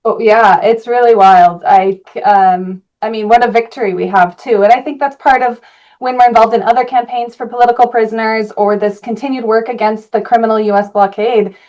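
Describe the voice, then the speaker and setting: A woman from Colorado, an activist guest, answering interview questions earnestly, with frequent "uh" pauses. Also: on the high side, steady tone, a little mellow, dull audio.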